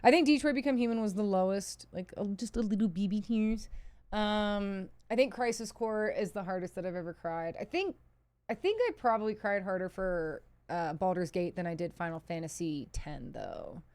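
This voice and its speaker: A woman's voice: mid-range voice, animated, normal speed, darkish tone, dry recording. A woman from the chats casually on a livestream, answering questions in a candid, self-deprecating, sweary way. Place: indoors, seated at a PC.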